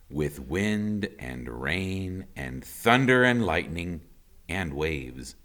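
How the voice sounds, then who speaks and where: Slow, highly animated male storyteller. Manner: expressive, suspenseful delivery with drawn-out pauses. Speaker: teacher reading a children's book aloud. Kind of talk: dramatic storybook narration.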